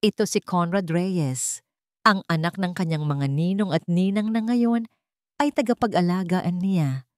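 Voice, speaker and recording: A woman tells a scripted romance drama as its narrator. Her voice sits a little low for a woman. The sound is very dry, with no room around it. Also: a little slow, no echo. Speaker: storyteller.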